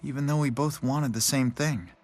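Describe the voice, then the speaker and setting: A man's voice: medium pitch, moderate pace, darkish tone, natural voice. A man playing a mild, awkward young man in a video game, speaking hesitantly and apologetically. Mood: rueful.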